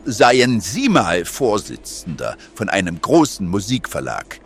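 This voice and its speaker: A self-important music mogul in a comedy radio play, acted by a man. The voice is on the low side and swoops theatrically, greedy and pleased with itself. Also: huge pitch swings, unhurried, slight background noise, heavily acted. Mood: smug, sighing. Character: smug, greedy male boss of a music publishing house.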